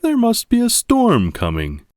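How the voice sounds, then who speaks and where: Man as storybook father bear, easygoing, reassuring, raised pitch, casual, dry sound. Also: wildly swooping pitch, measured pace, a little mellow, no echo, full character voice. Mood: musing. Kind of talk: children's storybook character reading.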